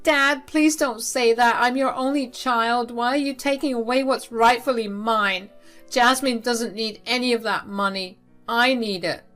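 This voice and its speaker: Woman doing a spoiled grown daughter, high whiny pleading, loud and desperate, faint music behind. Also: measured pace. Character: entitled pleading middle-aged daughter Carrie.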